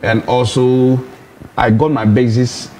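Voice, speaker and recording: A man's voice: medium pitch, takes it slowly, slight background noise, rough recording. A man from Ghana, a comedian and MC, chatting casually in an interview a loose, rambling way of telling stories. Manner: relaxed, rambling storyteller with frequent restarts. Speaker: comedian and MC guest.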